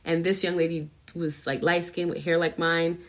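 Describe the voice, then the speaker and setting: A middle-aged woman from Trinidad speaks with a light New York accent. Her voice sits a little low, and her delivery is crisp and clearly enunciated, close to a news reporter's. She tells her stories in a relaxed, conversational way. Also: moderate pace. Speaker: host, mother of co-host. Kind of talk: casual chat.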